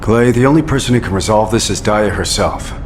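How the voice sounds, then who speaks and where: An actor playing an earnest male executive officer in a game cutscene, speaking in a calm, persuasive way. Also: on the low side, fairly quick, slight background noise, natural voice. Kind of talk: video game cutscene acting.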